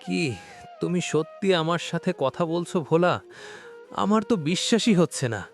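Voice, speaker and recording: A man voicing an innocent, excitable village boy in a cartoon story, in an earnest, wide-eyed manner. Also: high-pitched, normal speed, soft background music. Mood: amazed.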